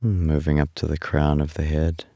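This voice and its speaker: A man leading a guided body scan meditation in a calm, unhurried, soothing way, leaving long pauses between instructions. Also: extremely low pitch, gravelly, no echo, studio quality.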